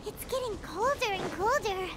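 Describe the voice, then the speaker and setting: A woman voicing a tiny, excitable childlike fairy companion in an anime game, squeaky and exclamatory. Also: normal speed, very noisy. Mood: curious, surprised. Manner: refers to self in third person, exclamatory. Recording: music behind the voice.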